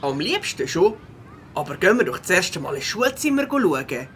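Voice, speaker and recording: A woman's voice: on the low side, very animated, moderate pace, slight background noise. A woman voicing a cheerful, friendly schoolboy beaver in Swiss German for a children's audio play.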